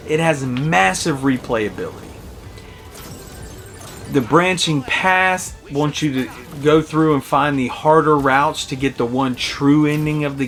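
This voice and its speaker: A man's voice: medium pitch, fairly bright tone. A man giving a casual, enthusiastic video game review in a conversational way. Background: game music behind the voice.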